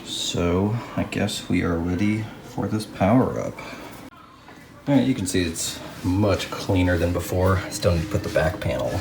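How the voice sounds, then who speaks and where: A man narrating a casual hands-on restoration video as a hobbyist, musing aloud to himself in an unhurried way. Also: sits low, vocal fry, noisy background.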